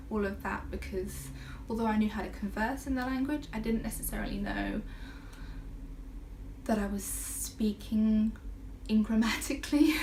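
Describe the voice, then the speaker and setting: A woman in her twenties from the UK, chatting casually to camera in a rambling way, with many fillers and soft laughs. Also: mid-range voice. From United Kingdom. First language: half Brazilian, Portuguese spoken at home. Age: 20s. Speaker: vlogger. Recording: noticeable background noise.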